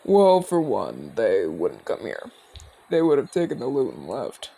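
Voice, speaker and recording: A man's voice: medium pitch, huge pitch swings, measured pace, creaky, dark tone, slightly rough, exaggerated voice. A man playing a seasoned, cocky but easygoing orc commander in an audio roleplay, talking in a casual, teasing, explanatory way. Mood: confident, explaining. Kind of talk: ASMR audio roleplay acting.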